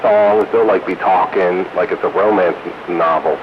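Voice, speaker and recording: Deep-voiced man on noisy CB radio. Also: steady tone, normal speed. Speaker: host of a CB radio hobbyist roundup. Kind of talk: casual radio chat. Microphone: CB radio microphone. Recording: noisy, dull CB radio transmission.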